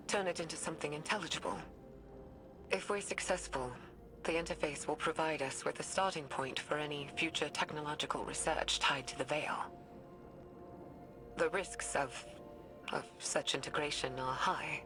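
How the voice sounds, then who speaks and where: An actress playing an anguished, guilt-ridden woman scientist dictating research logs in a measured, hesitant, breathy way, as in a dramatic video game. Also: deep voice. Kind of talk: dramatic video game voice acting, recorded log. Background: music.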